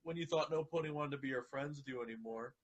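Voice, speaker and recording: A man voicing a young male cartoon dragon, a worried friend who speaks haltingly and earnestly. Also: fairly high pitch, fairly even intonation, lo-fi sound, pitched above the performer's own voice, close to an everyday voice. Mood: worried, hesitant.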